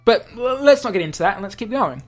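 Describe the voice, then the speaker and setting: A woman's voice: medium pitch, animated, fast talker. A woman giving casual, chatty commentary as she reads a visual novel aloud, breaking off to explain terms. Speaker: let's play host. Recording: music behind the voice. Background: game music.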